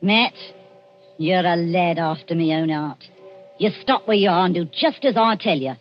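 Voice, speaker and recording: An actress playing a sly, bossy old matron of the underworld who wheedles in a 1940s radio drama. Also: female voice, lowish voice, moderate pace, close to an everyday voice. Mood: bossy, scheming. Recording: dull vintage 1940s radio recording, music.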